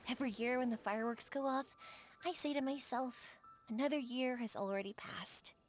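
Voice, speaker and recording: An actress playing a warm, philosophical young woman in a video game. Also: a little high, brisk, faint music behind, lightly acted. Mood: reflective, hopeful.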